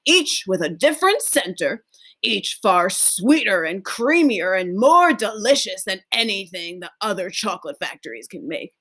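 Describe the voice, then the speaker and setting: An ancient, frail grandfather from a children's storybook, acted out by a woman. He is eager and fond, exclaiming with wonder and leaning hard on every superlative. His pitch swings up and down in a very lively way. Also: normal speed. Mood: eager, marvelling. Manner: emphatic, exclaiming, savoring superlatives.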